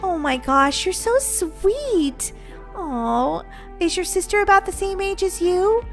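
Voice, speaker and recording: A woman's voice: very high-pitched, animated, takes it slowly, faint music behind, heavily acted. A woman voicing a kindly mother unicorn, teasing and playfully scolding, in a children's pretend-play story. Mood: fond.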